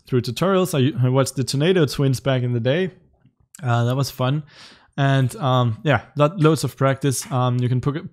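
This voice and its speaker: A young man in his twenties from Denmark, a native Danish speaker, casually answering chat questions on a livestream from his room, relaxed and chatty with light laughs. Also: medium pitch. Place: indoor home room in front of a webcam.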